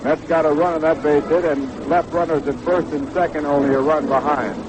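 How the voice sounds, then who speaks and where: A fairly high-voiced American man recaps a baseball game for radio listeners. He runs his sentences on at length, over the clear noise of a 1960s AM broadcast. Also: fairly even intonation, brisk.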